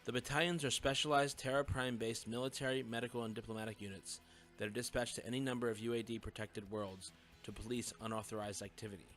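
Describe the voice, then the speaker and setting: A male academy student played by a man reads aloud in an audio drama. He moves briskly and formally through the text, with light music in the background. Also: mid-range voice, flat delivery, a bit bright, lightly acted. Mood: formal, informed. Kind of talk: scripted audio drama dialogue.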